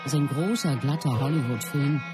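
Higher-pitched actor as an earnest biographical witness. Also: male voice, moderate pace, music in the background, natural voice. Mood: earnest, reflective. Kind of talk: scripted documentary-style radio play narration.

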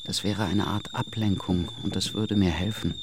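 An actor playing a despondent husband in his thirties in a radio drama, brooding and halting. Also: low voice, steady tone, noisy background. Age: 30s. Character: despondent thirty-year-old husband planning his own murder. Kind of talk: radio drama inner monologue and dialogue. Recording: music behind the voice.

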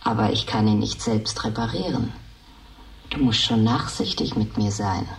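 A woman playing a gentle, earnest talking machine with a faulty word memory in a German radio drama. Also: rumbling low, distant mic, poor audio. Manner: slow, garbles words and corrects itself.

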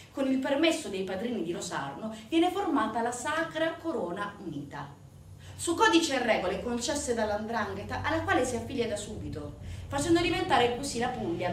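A woman delivering a theatrical narrative monologue to an audience, emphatic, with dramatic pauses and rhetorical questions. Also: a little high, big pitch swings. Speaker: stage actor performing a monologue. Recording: echoey, distant stage recording with noise.

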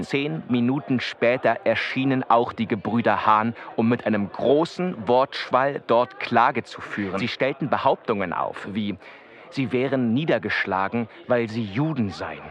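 Actor as formal 1930s newspaper reporter, mid-pitched. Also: male voice, lightly acted. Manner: formal, precise. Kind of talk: scripted reading of archival newspaper reports in radio play.

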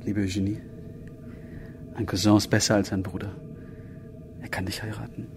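Man playing young gentleman in love, lowish pitch, earnest, formal, grieving, radio play, background rumble. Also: little pitch movement, moderate pace, natural voice. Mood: tender, ardent. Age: young adult. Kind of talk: German radio drama acting.

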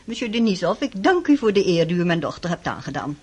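A woman's voice: fairly low pitch, sing-song, quick pace, a little mellow, breathy edge, exaggerated voice. A woman playing a middle-aged countess and mother in a radio drama, curt, scolding and formal. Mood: coldly formal. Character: brisk, dismissive aristocratic mother, a countess.

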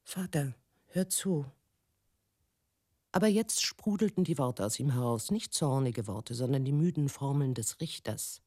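An actress playing a haunted middle-aged woman in an intimate radio drama monologue, halting and brooding, drifting into breathy near-whispers. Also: rumbling low, lively intonation, mellow, dry recording, studio quality, exaggerated voice. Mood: hushed, remembering. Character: haunted middle-aged woman recalling her stern father and lost child.

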